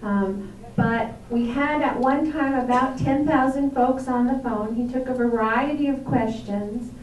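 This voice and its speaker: A woman's voice: a little high, measured pace, darkish tone, a little hiss or hum. A woman from a senator's staff giving a chatty, upbeat town hall update with many ums. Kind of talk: public speech at a town hall. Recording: echoey hall recorded from far off.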